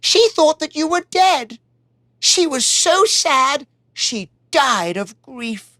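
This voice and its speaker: A woman's voice: high voice, big pitch swings, takes it slowly, a bit bright, no room sound, voice pushed up, full character voice. A woman voicing a troubled old king and father who speaks in a raised, solemn, regretful voice. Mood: sorrowful.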